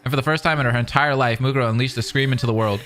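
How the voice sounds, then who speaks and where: A man reading narration dramatically and adding excited commentary as a reaction streamer. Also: mid-range voice, rapid-fire, noisy background. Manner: animated, dramatic. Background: faint music.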